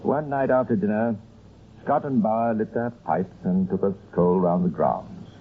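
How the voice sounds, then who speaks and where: A man giving scripted narration for an old-time radio crime story in a measured storytelling manner. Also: fairly low pitch, narrow, tinny audio. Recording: thin, noisy old radio recording.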